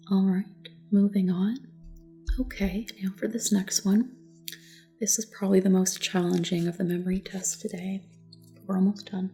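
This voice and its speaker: A soft, breathy woman gently giving calm, reassuring instructions in an ASMR roleplay, often dropping to a whisper. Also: mid-range voice. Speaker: ASMR roleplayer as a test examiner. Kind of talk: soft-spoken ASMR personal attention roleplay.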